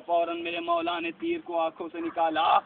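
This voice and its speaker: A man reciting a lamenting religious narration, shouting and wailing with deep feeling. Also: very animated, creaky. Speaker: majlis reciter. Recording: tinny phone-like with faint noise.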